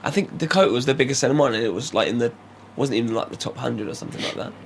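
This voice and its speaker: A man co-hosting a podcast, croaky from a cold, chatting eagerly. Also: medium pitch, slight background noise. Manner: quick, enthusiastic, chatty. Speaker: podcast co-host. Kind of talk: casual chat.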